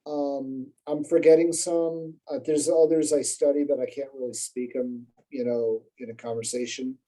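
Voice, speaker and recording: A man's voice: mid-range voice, level tone, moderate pace, dark tone, poor audio. A man speaking as a podcast guest, telling long rambling stories in a casual, self-deprecating way with many fillers and restarts. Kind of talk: casual conversational storytelling.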